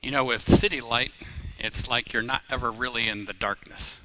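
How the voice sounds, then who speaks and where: A middle-aged man who grew up in Uganda, preaching a live sermon as pastor, telling personal stories with humor and rising louder on key phrases. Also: mid-range voice, steady tone, moderate pace, very noisy.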